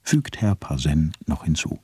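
Guy as an ironic narrator voice, super deep and raspy, lively delivery. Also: measured pace, heavily acted. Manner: dry, ironic asides. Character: ironic male commentator voice. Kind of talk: radio play narration.

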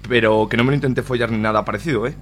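A man's voice: medium pitch, steady tone, racing, slight background noise, close to an everyday voice. A man playing a shaken, foul-mouthed grandfather. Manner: swears, hesitant. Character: older grandfather, shaken and crude.

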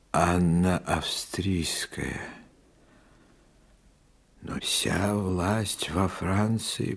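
An actor playing a dying old religious leader in a radio drama, solemn and conspiratorial in a hoarse, halting whisper. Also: male voice, sits low, heavily acted. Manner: slow, solemn, halting delivery.

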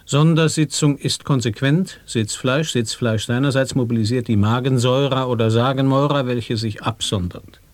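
An actor in a radio play reciting nonsense word play in a deliberate, pondering way. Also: male voice, medium pitch, no echo, close to an everyday voice. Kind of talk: reciting sound poetry.

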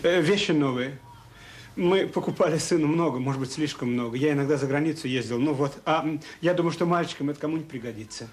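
A man's voice: fairly high pitch, fast, slight background noise, lightly acted. An actor playing a troubled, remorseful middle-aged father in a radio drama, speaking hesitantly and earnestly. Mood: earnest pleading. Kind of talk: Soviet radio drama acting.

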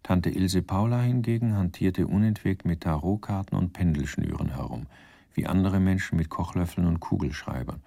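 Deep, gravelly male narrator. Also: mellow, no echo, studio quality. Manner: measured, wry, literary. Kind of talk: scripted radio drama narration.

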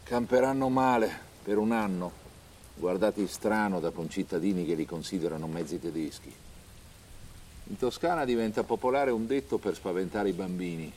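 Very deep-voiced man narrating history. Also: normal speed, a little mellow, a little hiss or hum. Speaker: documentary film narrator.

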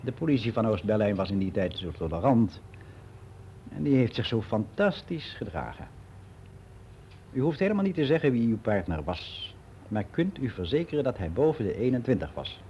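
Older man giving frank, matter-of-fact radio play monologue; soft dark tone, slightly noisy recording. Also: fairly low pitch. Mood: candid, indignant. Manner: matter-of-fact, rambling, candid.

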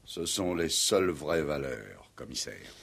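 Very deep, grave old-radio commandant. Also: male voice, sing-song, creaky, a bit bright, husky, exaggerated voice. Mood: grave, guarded. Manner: formal, measured, cryptic. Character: grave, honour-bound male military commandant, coolly cryptic. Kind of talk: 1960s French-language radio play acting. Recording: vintage 1960s radio drama recording.

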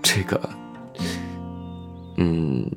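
A man's voice: deep voice, expressive, drawn-out words, fairly bright tone, faint music behind, full character voice. A man playing a modest, considerate male minor actor in an audio drama, speaking politely and mildly, a little hesitant. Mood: gentle.

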